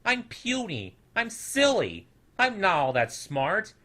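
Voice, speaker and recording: A man's voice: high voice, huge pitch swings, slow, bright, forceful tone, voice pushed up, full character voice. A man voicing a small, timid, self-doubting boy creature in a storybook, earnest and plaintive. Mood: dejected. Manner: plaintive, hesitant.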